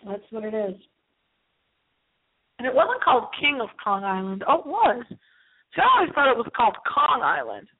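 A woman hosting a live radio show in a rambling, casual, playful way, with theatrical drawn-out asides. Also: a little high, big pitch swings, vocal fry, husky. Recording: thin, phone-like internet broadcast audio.

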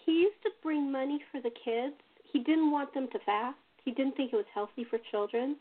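Female host, conversational storyteller, higher register, narrow phone-like audio. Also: fairly quick. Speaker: host and playwright. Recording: thin phone-like call audio.

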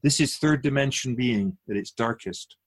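A man playing a wise, otherworldly spirit in a radio drama, patient and gently teasing, speaking in a measured, formal way with musing questions. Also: medium pitch, husky, lightly acted. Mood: solemn, earnest.

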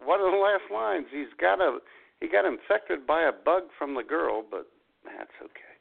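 A man's voice: a little high, lively intonation, moderate pace, vocal fry. A man co-hosting a radio show with casual, wry, joking banter. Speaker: radio co-host. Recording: narrow, tinny phone-like sound.

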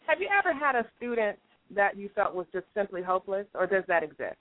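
A woman hosting a radio show, bubbly and quick to laugh, asking long questions. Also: medium pitch, sing-song. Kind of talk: radio interview. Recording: tinny phone-like line.

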